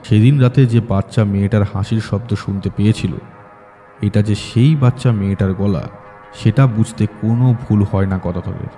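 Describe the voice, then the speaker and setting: Dark-voiced male horror narrator. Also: on the low side, normal speed, faint music behind. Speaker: story narrator. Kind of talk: scripted horror audiobook narration.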